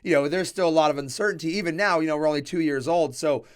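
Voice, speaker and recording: A man's voice: a little high, quick pace, clean tone. A man hosting a company podcast, asking long, rambling questions in a friendly, casual way. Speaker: podcast host. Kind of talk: casual interview chat.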